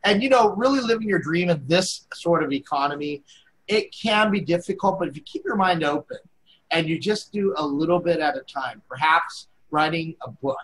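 A man's voice: a little high, expressive, moderate pace, fairly bright tone, slight background noise, low-quality recording. A man co-hosting a casual chat show over a video call, cracking jokes and laughing at his own puns. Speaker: co-host.

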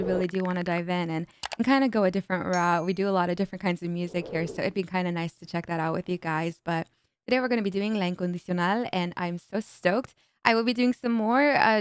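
A woman's voice: medium pitch, clean tone, no echo. A young woman whose first language is Spanish, reacting to music as a streamer in a bubbly casual way, switching between English and Spanish, speaking into a studio mic in a home streaming room. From United States, Mexican parents. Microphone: studio mic on boom arm, headphones on.

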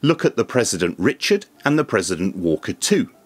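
A man presents a scripted explainer to camera in a measured, formal, methodical way. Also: on the low side, sing-song, breathy edge. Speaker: video presenter and radio reviewer. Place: small indoor home studio room.

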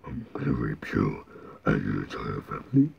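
A sinister demon monkey toy rumbles in an extremely deep, slowed-down voice. It sounds menacing and unnatural, part of a homemade toy cartoon. The recording is dull and lo-fi. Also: male voice, wildly swooping pitch, creaky, slightly rough, heavily acted.

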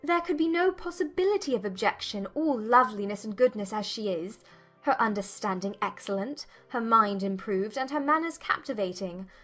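A woman voicing a spirited, proud young gentlewoman, formal and pointed, in a dramatized audiobook. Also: medium pitch, animated, moderate pace, faint music behind, pitched above the performer's own voice. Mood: indignant, proud. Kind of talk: dramatized audiobook character dialogue.

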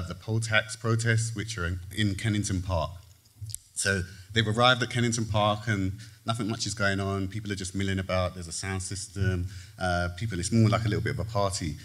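Man, author reading aloud, low voice, measured delivery, stage lectern mic, theatre audience. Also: a bit bright. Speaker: author reading his own story. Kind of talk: literary reading to a live audience. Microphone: lectern mic, far camera.

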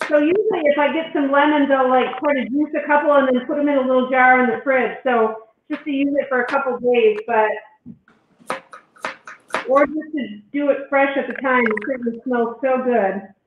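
A woman's voice: high-pitched, moderate pace, background noise. A woman cooking in her home kitchen on a video call, chatting and explaining each step as she goes. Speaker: guest doctor demonstrating a recipe. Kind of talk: cooking demo on a live stream. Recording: tinny echoey video-call audio.

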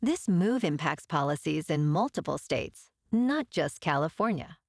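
Podcast news host, woman, deep voice with fry, animated script read, dead-dry booth. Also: unhurried, no room sound.

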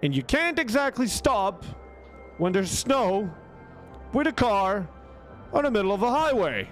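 A young man with a Croatian accent and a fairly high voice tells a story casually to his stream. He is emphatic and swears freely, repeating words to drive a point home. He speaks close to a desktop mic, with music faintly behind him. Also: sing-song, unhurried. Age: young adult. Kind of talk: casual storytelling to a livestream chat. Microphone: close desktop microphone with headset.

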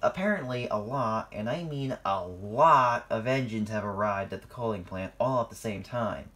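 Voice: mid-range voice, normal speed, lightly acted. A narrator voicing a worried, bewildered male railway yard manager who talks emphatically in a children's story.

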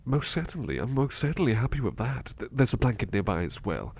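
A man playing a gentle, anxious, sleepy butler in a soft-spoken ASMR roleplay, hesitant and apologetic as he stammers. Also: mid-range voice, sing-song, fairly quick, gravelly, husky, full character voice. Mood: grateful, affectionate. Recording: faint background noise.

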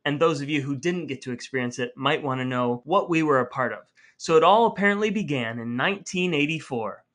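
A man's voice: a little high, sharp tone. A middle-aged American man hosting a podcast, speaking in a scripted, narrating style. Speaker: podcast host.

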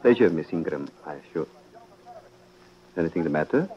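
An actor playing a courtly, mysterious prince with formal, polished manners in an old-time radio drama. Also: male voice, a bass voice, animated, moderate pace, dull audio. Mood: suave. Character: suave, courtly, mysterious prince, devilish collector.

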